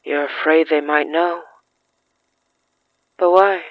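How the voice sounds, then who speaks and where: Slow, dry-sounding narrator with dramatic pauses. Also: mid-range voice, steady tone, no echo, muffled recording. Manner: measured, dramatic pauses in short phrases. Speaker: narrator of a horror fiction podcast. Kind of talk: scripted literary narration, storytelling.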